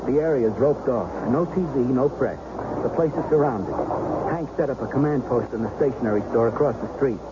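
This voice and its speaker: An actor playing an embittered police lieutenant in a radio drama, terse and commanding, with vengeful brooding. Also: male voice, medium pitch, a little fast, close to an everyday voice. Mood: tense, firm. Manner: terse, clipped commands alternating with brooding speech. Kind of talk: 1970s radio drama acting. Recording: muffled, noisy 1970s radio recording.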